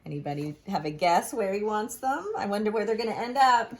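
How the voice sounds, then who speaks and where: A woman's voice: mid-range voice, expressive, a little fast. A woman teacher reading a picture book aloud to young children, expressively, with asides and questions.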